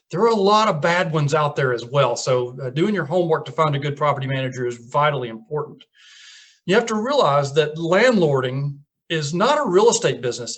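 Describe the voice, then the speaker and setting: A man in his fifties from Tennessee with a Southern accent, telling stories as a guest on a radio talk show. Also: on the high side. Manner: folksy storytelling, chuckles at own lines. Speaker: guest property manager. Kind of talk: casual radio interview.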